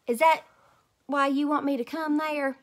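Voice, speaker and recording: A woman voicing a stubborn, excitable little girl from a storybook, talking emphatically in childish grammar. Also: high voice, a little slow, pitched above the performer's own voice. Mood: excited, eager.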